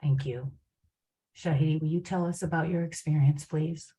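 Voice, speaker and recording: A woman moderating a panel discussion, asking questions in a polite, measured way. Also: low voice, steady tone, mellow, rough recording.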